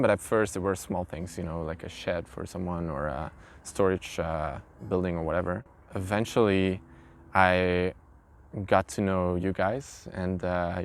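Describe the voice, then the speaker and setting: A man, an English speaker, telling his own story informally in an interview. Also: low voice. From Belgium. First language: not English. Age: early twenties. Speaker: interviewee, carpenter. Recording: clear background noise, ambient sound.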